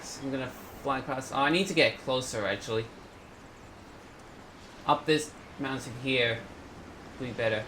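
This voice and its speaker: A man hosting a gaming video, giving casual, halting commentary as he thinks aloud. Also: mid-range voice, background noise.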